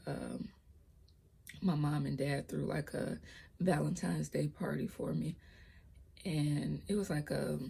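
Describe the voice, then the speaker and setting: A young woman with a low, raspy voice tells her own story in a casual vlog. She talks hesitantly, trips over her words and drops into soft whispered asides, and her voice cracks when she raises it. She records on a laptop mic. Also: slight background noise. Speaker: vlogger telling her life story. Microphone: laptop built-in mic.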